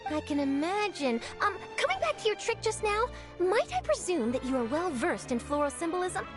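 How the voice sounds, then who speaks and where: A woman's voice: very high voice, very animated, moderate pace. A woman playing an eager, bubbly young newspaper reporter in an anime game, chirpy, polite and enthusiastic. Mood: polite, curious. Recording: music playing behind.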